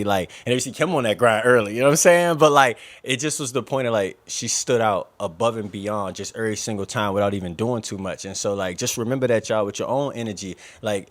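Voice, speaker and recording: A man's voice: on the low side, no room sound. An American man hosting a casual podcast, talking in a slangy, hype-man way with lots of filler tags. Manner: slangy, hype-man exclamations, frequent "man" and "you know". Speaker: podcast host. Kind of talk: casual hyped podcast chat.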